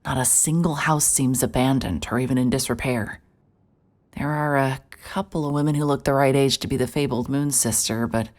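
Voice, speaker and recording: A woman narrating in scripted first person as a world-weary private investigator, dry and wry in tone. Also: a bass voice. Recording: music bed under narration.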